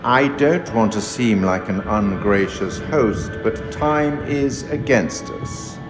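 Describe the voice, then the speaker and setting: An actor playing a sinister, urbane keeper of tales in a horror game, speaking in measured, formal, wry and ominous monologue. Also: male voice, on the low side. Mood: wry, confiding. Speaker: curator, narrator of a horror game. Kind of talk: theatrical scripted game monologue. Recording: echoey long reverb, music behind. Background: orchestral music.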